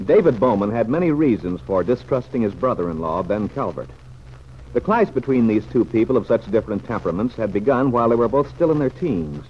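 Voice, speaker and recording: Low-voiced male old-time radio narrator. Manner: solemn, measured. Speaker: radio serial announcer and narrator. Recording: muffled, noisy old radio recording.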